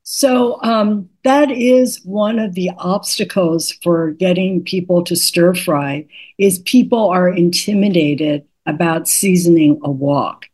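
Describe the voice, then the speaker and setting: An older woman, a cookbook author, patiently explaining cooking tips in an interview, her voice slightly raspy today. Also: fairly low pitch, takes it slowly, darkish tone. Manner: patient, instructive, methodical.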